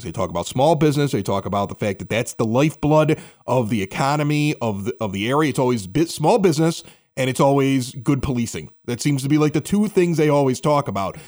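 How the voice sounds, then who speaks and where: A man's voice: mid-range voice, a little mellow. A man hosting a podcast, asking long, talkative questions in an informal, conversational interview style. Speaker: podcast host.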